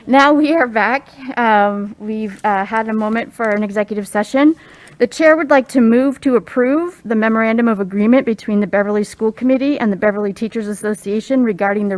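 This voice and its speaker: A woman's voice: fairly high pitch, a little fast, low-quality recording. A woman chairing a committee meeting in formal, businesslike procedural style. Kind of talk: formal meeting procedure, roll call vote.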